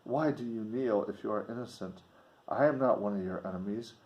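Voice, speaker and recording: A man voicing an earnest, kind, grieving young gentlewoman from a gothic novel, with formal, pleading speech. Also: on the low side, fairly quick, close to an everyday voice. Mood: tender, earnest.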